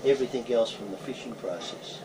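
A man's voice: medium pitch, a little fast. A man in his sixties with an Australian accent, explaining a harbour and its fishing in an easygoing way to passengers on a tour boat. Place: open boat in a harbour outdoors. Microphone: action camera from a distance. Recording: distant mic, loud engine and wind noise.

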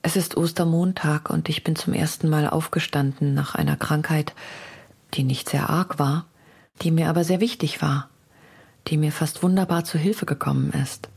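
A lovesick young woman poet in a radio feature. The voice is very low for a woman and husky with breath. She reads her letters slowly and intimately, torn and hushed. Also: fairly even intonation, full character voice. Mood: weary, confessional. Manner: intimate, measured literary reading.

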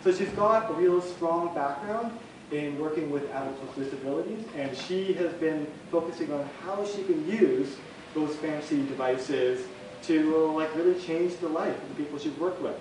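A man's voice: fairly high pitch, normal speed, noisy background. A man hosting an event, introducing the speaker in a playful, joking way, recorded from a camera across a dim meeting room. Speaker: event organizer and host. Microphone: far camera. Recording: distant, very echoey room recording.

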